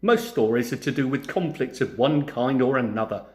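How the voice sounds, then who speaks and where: A man voicing a wise storytelling giant, measured and instructive, firmly admonishing and explaining. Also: medium pitch, lively intonation, a little mellow, lightly acted. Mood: patient, explaining. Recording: roomy, echoing sound.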